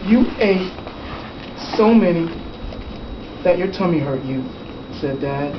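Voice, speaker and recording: A man's voice: high voice, expressive, highs cut off. Man reading aloud in an echoey, noisy room. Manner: conversational, pausing to explain pictures and question the listeners. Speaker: author reading his picture book aloud to children. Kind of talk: storybook read-aloud with casual asides. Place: school classroom, indoors. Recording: echoey, noisy classroom, far from mic. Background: clear room noise with children present.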